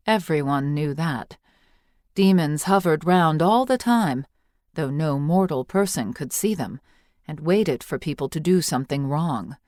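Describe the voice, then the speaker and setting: A woman with a lowish voice reads a story aloud as an audiobook narrator. Her delivery is measured and expressive, recorded very dry. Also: no echo.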